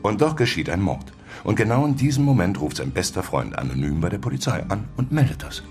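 An actor playing a blunt, world-weary journalist in a radio drama, speaking in a direct, dry and pressing way. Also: male voice, on the low side, sing-song, a little fast, a little mellow, faint music behind. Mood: confrontational. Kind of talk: German radio drama acting.

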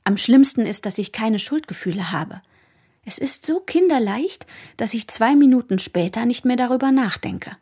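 A woman's voice: fairly high pitch, animated, moderate pace, warm tone, husky. An actress playing a candid young woman in a radio drama reading. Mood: brazen, confiding.

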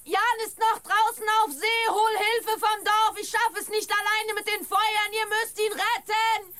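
Worried but stubborn village wife, high voice, slow imploring delivery, radio play. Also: female voice, little pitch movement, noisy background. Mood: urgent distress. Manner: imploring, dramatic. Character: anxious, determined village wife. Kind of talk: German radio play acting.

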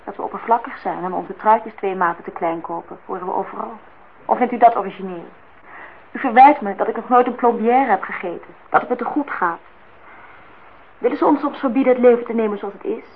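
A woman's voice: medium pitch, brisk, lightly acted. An actress playing an indignant, disillusioned young woman in a Dutch radio play, outspoken and reproachful as she speaks her mind. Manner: reproachful, talks in long outpourings. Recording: dull, noisy old radio play recording.

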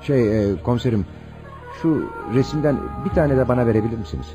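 A man's voice: mid-range voice, lively intonation, quick pace, loud music behind the voice, close to an everyday voice. An actor playing an earnest, anxious city man on a search, polite and hesitant, in a radio drama.

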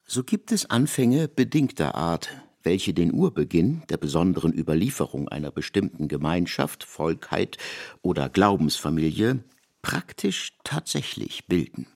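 A man's voice: low voice, big pitch swings, measured pace, vocal fry, darkish tone, heavily acted. A man narrating a literary radio play in a declamatory, emphatic way, savouring ornate words.

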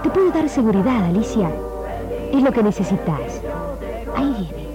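A pushy, practical best friend in an old Argentine radio play. She urges and persuades with animated pitch, music playing behind on the archive tape. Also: normal speed. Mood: urging. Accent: Rioplatense Argentine. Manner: urging, persuasive. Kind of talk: 1960s radio drama acting.

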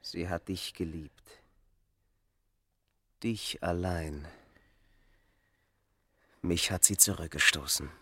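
A man's voice: sits low, slow pace, long pauses, pushed and bright, breathy edge, full character voice. An actor playing a bitter, despairing Egyptian man rejected in love, brooding and emphatic in a radio drama.

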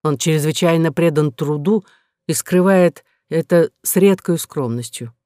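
Bright, dry-sounding woman narrator. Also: low voice, unhurried, dry recording. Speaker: audiobook narrator. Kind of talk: scripted narration of a classic novel in a young noblewoman's first person.

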